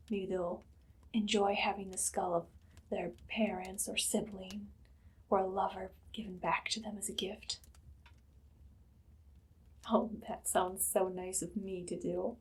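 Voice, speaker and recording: An evil queen acted by a woman in an ASMR roleplay, haughty and casually menacing. Her voice is very breathy and husky, sliding often into whispers. She speaks with deliberate, imperious sweetness at an ordinary female pitch. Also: fairly even intonation. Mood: pleased, musing.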